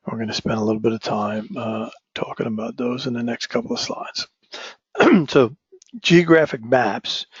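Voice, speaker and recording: A man teaching a lecture, hoarse from a cold, often restarting phrases and checking in with "right?". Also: mid-range voice, dry recording. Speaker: instructor. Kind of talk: recorded online lecture. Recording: dull, dry lo-fi recording.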